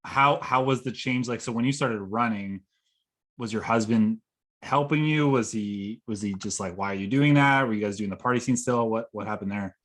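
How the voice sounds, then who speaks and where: A man's voice: lowish voice, moderate pace, a bit bright. Friendly male podcast host. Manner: friendly, loosely phrased questions. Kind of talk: podcast interview.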